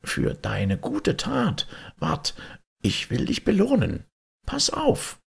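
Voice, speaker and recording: A man voicing a grateful, crafty ghost from a fairy tale in a thin, breathy, plaintive voice. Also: on the high side, wildly swooping pitch, slow, pitched above the performer's own voice, heavily acted. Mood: scheming.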